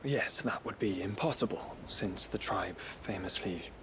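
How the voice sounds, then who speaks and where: A man's voice: on the low side, measured pace, noisy background, lightly acted. An actor in a video game cutscene playing a learned man who recites lore in a formal, precise, bookish manner. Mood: sceptical.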